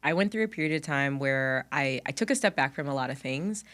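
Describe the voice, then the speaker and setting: A woman's voice: extremely low pitch, animated, a little fast, a bit bright. A young adult woman co-hosting a podcast in casual chat, upbeat and chatty. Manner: upbeat, chatty, frequent laughter. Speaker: podcast co-host. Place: Dallas.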